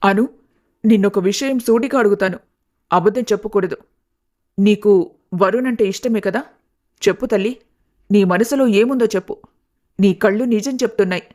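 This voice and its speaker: Woman playing a blunt, motherly middle-aged aunt, medium pitch. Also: normal speed, long pauses, mellow, natural voice. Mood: concerned, probing. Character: protective, disapproving middle-aged aunt of the family. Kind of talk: animated family story dialogue.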